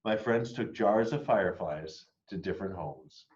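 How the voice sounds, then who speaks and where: A man reading a children's picture book aloud expressively, moving between hushed and emphatic delivery. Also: low-pitched, normal speed, highs cut off. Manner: dramatic, hushed and emphatic by turns. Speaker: read-aloud storyteller.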